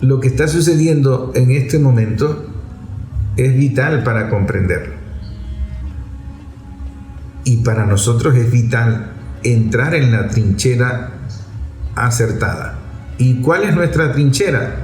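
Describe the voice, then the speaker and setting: A man's voice: medium pitch, unhurried, a little mellow. A man giving a political commentary monologue in an emphatic, didactic way, repeating phrases for effect. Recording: echoey room with music underneath. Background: music.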